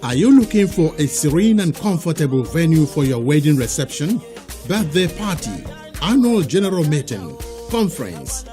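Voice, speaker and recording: Nigerian guy doing a promo voiceover, really animated intonation, bit of music behind. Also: a little high, moderate pace. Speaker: advert announcer. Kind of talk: promotional scripted voice-over.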